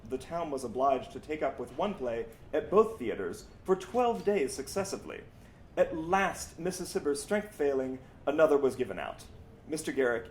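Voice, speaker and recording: A man reading a scripted historical account aloud in an expressive, formal, oratorical manner. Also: a little high. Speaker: reader. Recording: roomy echo, background noise.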